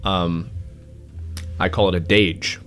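A young man with a stuffed-up, nasal voice, rambling loudly in a casual chat and often breaking off his own sentences. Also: deep voice, flat delivery, slow pace, faint music behind. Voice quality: loud. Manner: rambling, self-interrupting, laughs often. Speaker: co-host.

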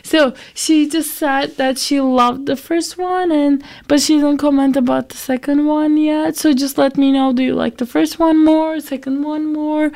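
High, creaky-voiced young woman radio host. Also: fairly even intonation, unhurried, hardly any pauses, darkish tone. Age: young adult. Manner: rambling, self-deprecating. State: recovering from a week of sickness. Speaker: student radio show host. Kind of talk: casual music show chat.

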